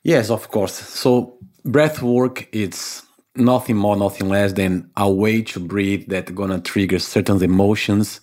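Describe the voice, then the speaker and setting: Brief: low-pitched Brazilian man, English, earnest, explanatory interview guest. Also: measured pace, darkish tone. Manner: non-native phrasing, earnest and explanatory. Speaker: podcast guest, breathwork instructor. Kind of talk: conversational interview answers.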